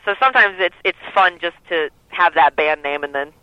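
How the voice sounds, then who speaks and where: A woman who sings lead in a band, with a lower voice, talking in a relaxed, rambling way with many fillers during a phone interview. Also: normal speed, dry recording. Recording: dull lo-fi phone line.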